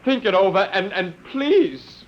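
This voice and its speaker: An actor with an American accent playing an earnest, wry man who coaxes haltingly, in 1950s radio drama style. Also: high-pitched, lively intonation, very noisy. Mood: tender, coaxing. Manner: halting, musing, coaxing. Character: earnest, wry man, last survivor, gently wooing. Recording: muffled vintage 1950 radio broadcast.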